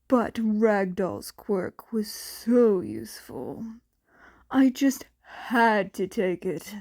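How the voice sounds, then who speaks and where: Woman reading as a calm, menacing male supervillain. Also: medium pitch, extremely slow, creaky, no echo, studio quality, exaggerated voice. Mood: mock-apologetic. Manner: calm, mock-apologetic. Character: menacing, falsely courteous male supervillain, unhurried and smug.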